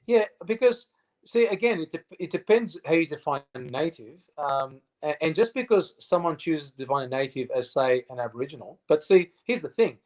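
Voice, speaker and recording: A man's voice: on the high side, animated, normal speed, a little mellow. A man in his fifties, a guest in a casual interview, who speaks emphatically with many fillers and restarts. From Australia. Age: 50s.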